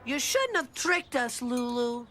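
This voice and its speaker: A woman's voice: fairly high pitch, very animated, unhurried. A woman voicing Tubby, a chubby, boastful boy who leads a club and talks in a scheming, bossy way. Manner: scheming, bossy, pleased with himself. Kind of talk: cartoon voice acting. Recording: studio voice over loud cartoon music.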